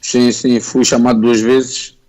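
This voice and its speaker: A man's voice: mid-range voice, level tone, slow, a little mellow, poor audio. A young man, born in Angola and raised in Portugal, answering interview questions politely and modestly as a footballer. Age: young adult. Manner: polite, modest, plain-spoken. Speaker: footballer guest.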